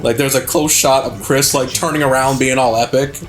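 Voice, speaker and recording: A man's voice: mid-range voice, fairly even intonation, normal speed, soft background music. A young man co-hosting a casual video game playthrough, rambling and swearing often, with lots of filler words. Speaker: co-host playing a video game.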